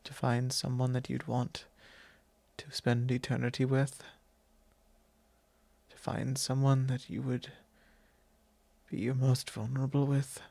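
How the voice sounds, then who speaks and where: A courteous vampire lord, played by a man, confides softly in an ASMR roleplay. His voice has a gravelly creak and moves very slowly, in formal, hesitant phrases. It is solemn and tender, very close and dry on the microphone. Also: medium pitch, monotone, no room sound. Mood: solemn, confiding. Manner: measured, formal, hesitant phrasing with soft breathy drops. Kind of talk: ASMR roleplay, soft intimate monologue.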